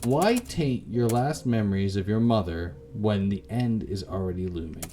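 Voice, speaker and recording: A man voicing a calm, pragmatic advisor on a phone call in a visual novel, measured and matter-of-fact. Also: fairly low pitch, a little hiss or hum, lightly acted. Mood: measured, reassuring.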